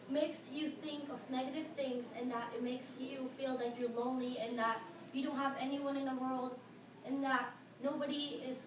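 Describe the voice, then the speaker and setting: Filipino-accented teen girl, high voice, earnest prepared speech with fillers, in an echoey, noisy room some way from the mic. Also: recorded from a distance. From Philippines. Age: teens. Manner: earnest, halting with fillers, stressing key words. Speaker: high school student speaker.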